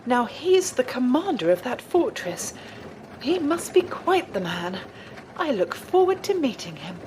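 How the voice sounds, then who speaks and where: A woman playing a calm, earnest, gently stern warrior nun in an audio drama, with a husky voice and measured, formal phrasing. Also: high voice, slight background noise, rough recording. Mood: curious.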